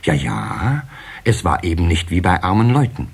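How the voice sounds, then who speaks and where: Husky low-voiced storyteller man, very lively delivery. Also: moderate pace. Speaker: narrator. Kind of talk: scripted storybook narration for a children's audio play.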